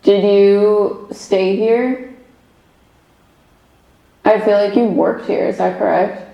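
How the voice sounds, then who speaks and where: A woman's voice: medium pitch, fairly even intonation, takes it slowly, creaky, muffled recording. Young woman, medium on a ghost hunt, giggly rambling chatter, recorded in a roomy basement. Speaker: medium, paranormal investigator. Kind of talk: casual chat on a ghost hunt. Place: old basement room with echo. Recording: echoey basement room.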